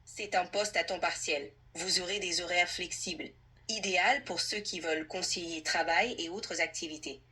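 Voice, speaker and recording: A woman's synthetic assistant voice, husky, speaking fluently and politely as a tutor in a conversational role-play. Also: on the low side, normal speed, sharp tone. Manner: polite, fluent, helpful, orderly. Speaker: AI language tutor playing an employer.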